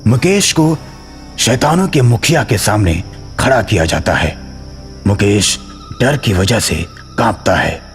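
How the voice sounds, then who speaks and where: Slow, low-voiced male story narrator. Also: lively intonation, in a large hall, soft background music. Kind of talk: scripted horror story narration.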